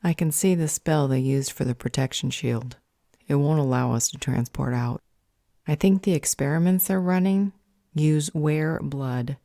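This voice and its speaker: Casting: woman as analytical female magic user, audio roleplay. Very low, mellow voice, measured and serious. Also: no echo, clean studio sound.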